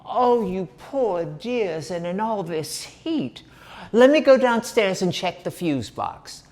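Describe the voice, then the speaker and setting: A man doing a wry, sharp-tongued mother. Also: high voice, very animated, full character voice. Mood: soothing. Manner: brisk, wry. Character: exasperated American mother, practical, sharp-tongued.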